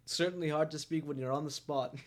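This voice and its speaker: A man's voice: on the high side, steady tone, a little fast. A man hosting a roleplay talk show over game voice chat, musing and rambling with frequent laughs.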